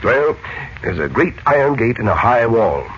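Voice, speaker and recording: An American actor playing a calm, confident middle-aged mystic hero and kindly uncle in a 1940s radio serial, speaking in a measured, reassuring way. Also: male voice, mid-range voice. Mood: pensive, explaining. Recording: muffled, noisy late-1940s radio recording.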